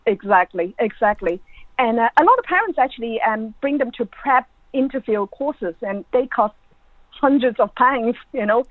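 A woman's voice: fairly high pitch, expressive, normal speed, no echo. A middle-aged woman from Hong Kong and Ireland, an academic guest telling personal stories in an informal, chatty interview style. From born in Hong Kong, grew up in Ireland. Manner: informal, chatty, peppers talk with "you know". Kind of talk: conversational interview, personal anecdotes. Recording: thin, band-limited phone-like line.

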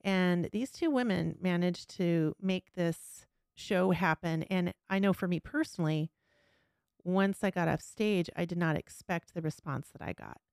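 Female podcast host, smooth lowish voice, close dry sound, earnest and candid conversational delivery. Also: a little slow, a little mellow, no echo. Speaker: podcast host and local storyteller.

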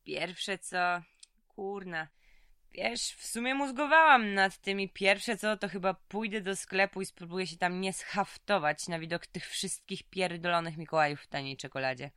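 Streetwise female convict, lowish voice. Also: normal speed, bright, forceful tone, clean tone, no echo, studio quality. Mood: brash, sardonic. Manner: colloquial, slangy, streetwise. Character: weary, tough female convict being released from prison. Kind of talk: audio drama acting.

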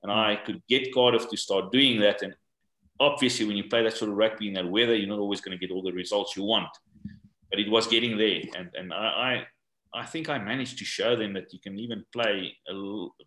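An older man from South Africa, English not his first language, answering interview questions in a halting way and often repeating words. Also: lowish voice, pushed and bright, echoey room, poor audio. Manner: halting, repeats words while searching for them. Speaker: guest, rugby coach. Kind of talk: podcast interview.

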